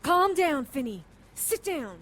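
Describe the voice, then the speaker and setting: An actress playing a determined, weary detective's partner, sober and matter-of-fact in audio drama narration and dialogue. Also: female voice, sits high, sing-song, slow, a bit bright, heavily acted. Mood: urgent. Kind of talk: scripted first-person audio drama narration.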